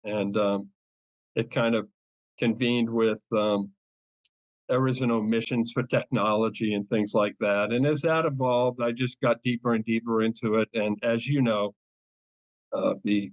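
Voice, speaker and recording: A middle-aged man with a Boston accent and a fairly low voice, recorded dry and close with almost no room sound. He talks as an eager podcast guest, rambling along with frequent ums and restarts. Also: no room sound, low-quality recording. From New England. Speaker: guest, cyber insurance advisor. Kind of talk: casual podcast interview.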